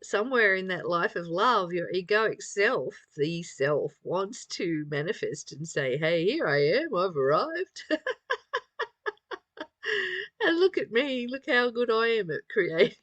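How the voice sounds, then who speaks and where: Slow-talking woman, reflective spiritual teacher. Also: medium pitch, big pitch swings, a bit bright, no echo. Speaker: spiritual study teacher reading aloud and commenting. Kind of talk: reflective spiritual reading with informal commentary.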